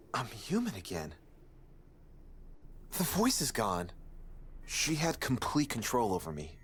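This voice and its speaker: An actor playing a shaken, bitter man freed from an animal curse, speaking with dramatic emphasis in a game. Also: mid-range voice.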